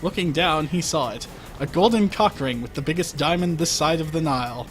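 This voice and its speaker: Wry, high-voiced man reading aloud. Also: animated, fairly quick. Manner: wry, breaks off to comment on the text. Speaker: story reader. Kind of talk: comic fan fiction reading on a livestream. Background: faint music.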